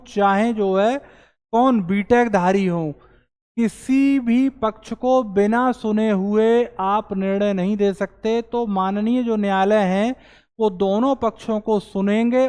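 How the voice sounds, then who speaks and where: A man teaching as an exam coaching teacher, explaining recruitment news to students in an earnest, explanatory way and slowing down to stress his points. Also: sits high, clear, resonant tone, big-room sound.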